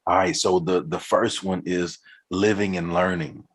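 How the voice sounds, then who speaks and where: A man's voice: low voice, fairly even intonation, measured pace, husky. A man hosting an interview, talking in a casual, enthusiastic and affirming way and laughing easily. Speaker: podcast host.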